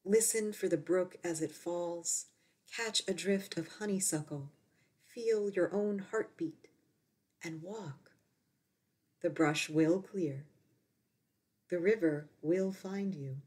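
A woman reads poetry in a slightly low voice at a very slow pace. Her delivery is measured, set out in short deliberate phrases with space between them. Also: a little mellow. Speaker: poet. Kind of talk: poetry reading.

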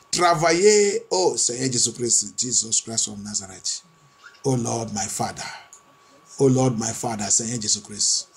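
A man's voice: medium pitch, a little mellow, slightly rough. A male pastor praying and preaching fervently, repeating phrases insistently like a chant as he moves between two languages. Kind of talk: fervent charismatic prayer sermon, switching between French and English.

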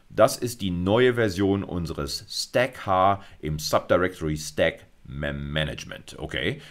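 Low-voiced, lively male lecturer. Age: middle-aged. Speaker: university computer science lecturer. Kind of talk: explanatory screencast lecture. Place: indoors, recorded screencast.

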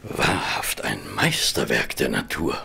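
An actor playing a well-mannered, slightly bumbling gentleman companion of a detective, halting and deliberate, in an audio drama. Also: rumbling low, huge pitch swings, vocal fry, noisy background, exaggerated voice. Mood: astonished. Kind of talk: German audio drama acting.

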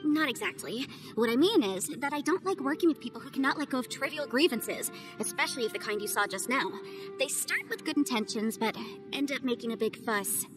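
An earnest young female legal advisor talks gently in a high voice. It is a game cutscene with music running underneath. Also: lively intonation, a little fast. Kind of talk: video game voice acting.

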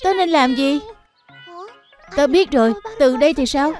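A performer voicing an earnest, excitable young bear cub in a children's cartoon dub, with simple childlike delivery. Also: high-pitched, unhurried, faint music behind. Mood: curious, helpful.

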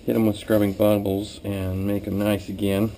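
A man with a fairly low voice talks through a job as he does it, like a trainer. His delivery is casual, plain and practical. The action-camera audio has clear noise and room ambience around him. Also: little pitch movement, moderate pace. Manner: plain, practical, talking while working. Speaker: cleaning trainer. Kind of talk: casual hands-on instructional narration. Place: small workplace kitchen, indoors. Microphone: body-worn or head-mounted camera.

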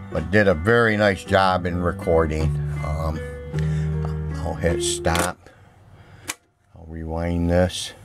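A middle-aged man giving a casual, plainspoken product demo with repetitive sales patter, recorded on a handheld camera indoors. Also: low voice. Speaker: seller demonstrating used audio gear. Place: indoor room at home, music playing behind. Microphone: handheld camera mic, speaker behind camera.

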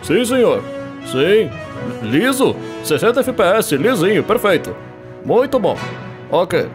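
A man's voice: high-pitched, sing-song, slow pace, voice pushed up, heavily acted. Nervous scheming cartoon employees, voiced by a Brazilian man, whiny, with music bed. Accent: Brazilian Portuguese.

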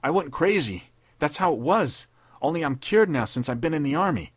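A man's voice: a little high, animated, normal speed, husky, no echo, voice pushed up. A man voicing a frightened young private, an ex-gangster who pleads and whines in street slang. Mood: pleading. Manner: whining, pleading, slangy, stammering.

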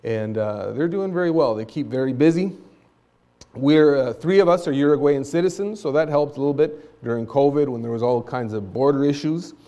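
A middle-aged man who grew up speaking Spanish gives an earnest missions talk from a church pulpit. His tone is mellow and warm. He talks in a conversational way and climbs higher when he presses a point. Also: brisk. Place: church sanctuary stage at a pulpit.

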